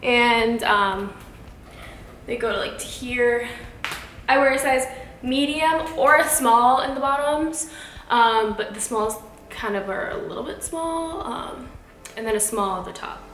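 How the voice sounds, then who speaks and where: Young woman, informal home-cooking vlogger, fairly high voice, leisurely delivery, chatty. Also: measured pace, a bit bright, slight background noise. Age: 20s. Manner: informal, chatty, talks affectionately to pets. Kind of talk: casual vlog chat while cooking. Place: home kitchen, indoors. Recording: echoey room, music behind.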